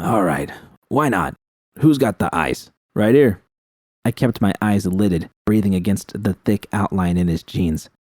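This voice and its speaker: A man's voice: on the low side, warm tone, dry recording. A man reading scripted first-person dramatic fiction as an audiobook narrator.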